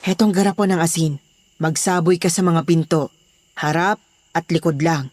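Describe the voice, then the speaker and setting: A woman's voice: lowish voice, moderate pace, darkish tone, lightly acted. A woman with a Filipino accent playing a protective, bossy daughter running a household in a horror audio drama. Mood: matter-of-fact.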